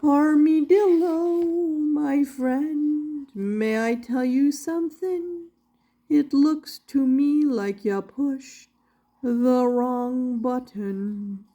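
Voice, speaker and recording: Bossy storybook music professor performed by a woman: high voice, drawn-out rhyming commands, theatrical singsong delivery. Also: dark tone. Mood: dry reproach.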